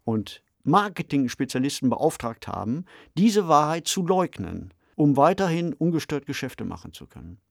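A man's voice: on the high side, very animated, moderate pace, creaky, hardly any pauses, no room sound, studio quality. A man co-hosting a discussion podcast. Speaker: podcast co-host.

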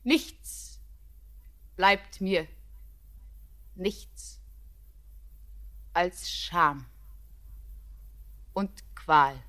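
An actress playing a devoted, despairing young bride in a 1940s radio drama, with halting, fervent, lyrical delivery. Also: female voice, on the low side, a bit bright. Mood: despairing, ashamed.

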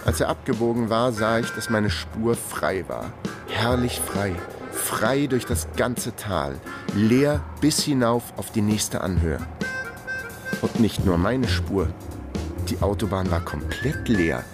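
A wry male private investigator narrates his case in a radio crime drama. His voice sits fairly low, the delivery is dry and sparing with words, and loud music plays beneath it. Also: animated, slow. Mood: wry, watchful. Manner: laconic, dry.

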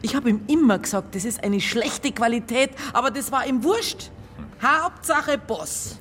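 Blunt Bavarian widow. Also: female voice, a little high, unhurried, fairly bright tone, slight background noise. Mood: matter-of-fact, scornful. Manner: earthy, unsentimental, colloquial dialect. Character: blunt, matter-of-fact widow of a murdered small-town man. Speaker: actor in radio drama. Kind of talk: naturalistic radio drama acting.

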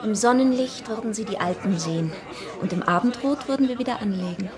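An actress playing a tender, wistful deaconess who is an inspector's partner, in a radio drama. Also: female voice, medium pitch, brisk, crowd noise, close to an everyday voice. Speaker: actress, also narrating the story. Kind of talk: German radio drama dialogue and narration.

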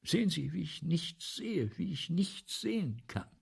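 An actor in a German radio play playing an ageing, excitable, tormented theatre man who repeats himself insistently in theatrical monologue. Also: a little high, a little slow, creaky, husky, full character voice. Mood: anguished. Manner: insistent, repeats phrases, swings from hush to declamation.

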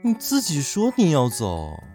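A man's voice: fairly high pitch, wildly swooping pitch, measured pace, dark tone, soft background music, exaggerated voice. A man playing a sulky, naive young man who needles his friend with pointed questions in a live audio drama. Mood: huffy.

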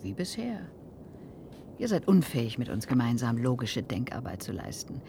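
A deep, mellow female voice for a radio play's alien-possessed woman. She speaks solemnly and cryptically, coldly detached, yet with a pitch that moves widely. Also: big pitch swings, moderate pace, gravelly, a little hiss or hum, heavily acted. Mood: cold, resolute. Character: detached, alien-possessed woman leaving Earth, scornful of emotion. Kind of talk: German radio play acting.

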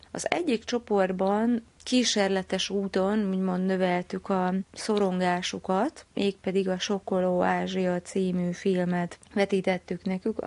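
A woman's voice: mid-range voice, vocal fry, darkish tone, no room sound. A woman, a research psychologist, explaining her study in an interview with careful, academic phrasing.

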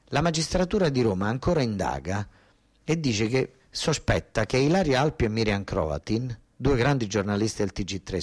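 A man with a southern Italian accent performing a narrative theatre monologue in a colloquial, insistent way, repeating phrases for emphasis. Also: medium pitch. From Basilicata, southern Italy. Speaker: actor-storyteller.

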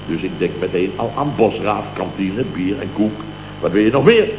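An actor playing a blustering, self-important contractor boss in radio comedy, telling tall tales in clipped, exclamatory, repetitive bursts. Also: male voice, fairly low pitch, animated, normal speed. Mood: dramatic. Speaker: comic radio serial actor. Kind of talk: late-1960s Dutch radio comedy acting. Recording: dull, roomy old radio recording with hiss.

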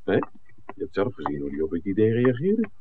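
An actor playing a shrewd, dryly teasing film scout in a 1960s radio play, speaking in an articulate, deliberate way. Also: male voice, on the low side, huge pitch swings. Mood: wry, emphatic. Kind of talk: 1960s radio drama acting. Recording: muffled, noisy old radio broadcast recording.

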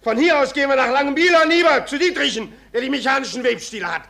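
A man acting an enraged, vengeful poor weaver in a riot, shouting agitatedly in dialect in a German radio play. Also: very high voice, very fast, gravelly, full character voice. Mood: enraged, riotous. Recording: noisy old radio play, crowd din.

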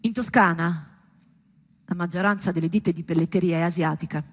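A woman performing a scripted theatrical monologue, storytelling with deliberate pauses and emphatic, dramatic delivery. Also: lowish voice, steady tone, breathy edge. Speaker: stage performer.

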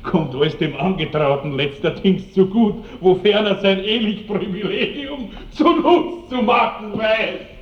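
A man's voice: high-pitched, a little slow, hardly any pauses. An actor playing a blustering man in a 1960s radio drama. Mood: smug. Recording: echoey, distant dull radio recording.

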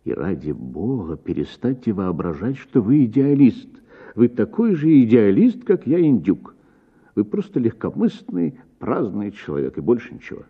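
Man performing middle-aged sponger, theatrical, plaintive, wildly swinging intonation, smooth voice, radio story. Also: fairly low pitch, sing-song, normal speed, phone-quality sound, pitched above the performer's own voice. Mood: chiding. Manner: plaintive, melodramatic, wounded protesting. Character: self-pitying, theatrical middle-aged idler, a weepy sponger.

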